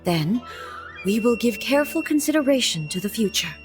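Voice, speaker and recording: Regal goddess ruler: measured, formal, composed; faint game music under. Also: female voice, on the high side, very animated. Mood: solemn. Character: solemn regal female deity ruler, composed.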